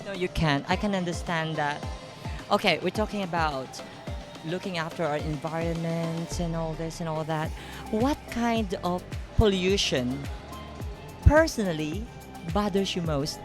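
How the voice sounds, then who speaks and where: A woman's voice: on the low side, a little slow. Playful woman interviewer. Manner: teasing, giggly. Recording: music playing behind.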